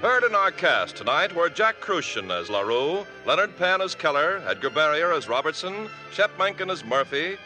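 A man announcing the cast credits and commercials on a 1950s radio show, with a smooth, persuasive delivery. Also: on the high side, sing-song, moderate pace, hardly any pauses, music in the background, poor audio.

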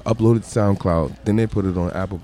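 A man with a deep voice, a guest musician in a podcast interview at a crowded restaurant, talking in laid-back slang and swearing casually. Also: fast talker, dark tone.

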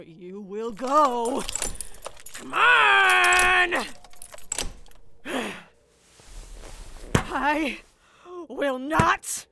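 A woman's voice: sits high, vocal fry, heavy background noise, exaggerated voice. A woman playing a tough, street-smart chemist stranded in a wasteland, muttering defiantly to herself in an audio drama. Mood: defiant, strained. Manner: muttering to self, gritty, defiant.

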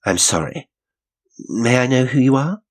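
A man reading aloud, voicing a bold, practical young woman with crisp, well-bred phrasing. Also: medium pitch, expressive, a little slow, pitched above the performer's own voice. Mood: brisk.

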